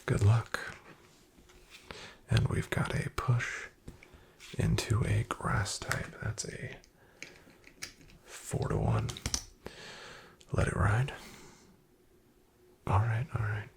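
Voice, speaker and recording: A man playing a shady, wryly menacing back-alley casino dealer in a soft-spoken, breathy ASMR roleplay, with an unhurried drawl. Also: on the low side, very animated, full character voice. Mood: calm dealing. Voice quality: whispery, breathy, gravelly. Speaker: card game dealer in a roleplay.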